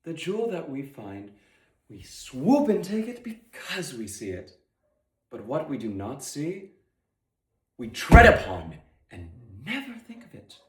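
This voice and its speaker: A man playing a stern, pompous deputy ruler in a declamatory, deliberate Shakespearean stage monologue. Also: on the high side, huge pitch swings. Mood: lofty, reasoning. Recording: echoey room.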